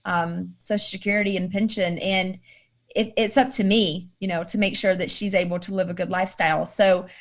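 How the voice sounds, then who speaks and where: A woman's voice: medium pitch, fairly even intonation, brisk. A woman in her thirties answering interview questions in a casual, upbeat way and laughing readily. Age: 30s. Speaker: guest.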